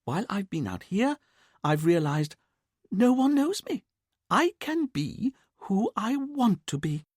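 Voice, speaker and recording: A man voicing a free-spirited middle-aged mother who talks in a lively, breezy, defiant way. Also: sits high, slow, breathy edge, no room sound, clean studio sound, voice pushed up, exaggerated voice.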